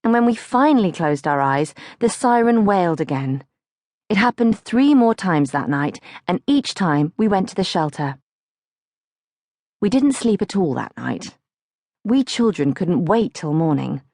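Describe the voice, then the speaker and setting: A woman's voice: on the low side, a little slow, no room sound, studio quality. A woman narrating a childhood diary as an audiobook, reading expressively from a script. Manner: expressive, acts out questions.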